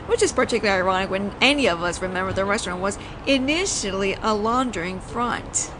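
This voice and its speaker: A woman's voice: medium pitch, expressive, normal speed, natural voice. A woman playing a flirtatious, teasing mafia boss in an audio roleplay, talking in a playful, conversational storytelling way. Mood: fond, wry. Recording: noisy outdoor city ambience, traffic.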